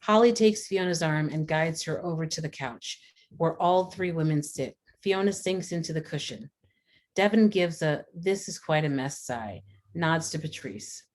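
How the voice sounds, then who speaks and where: Female narrator for script stage directions, deep register, scripted narration. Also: lively intonation, moderate pace, a little mellow.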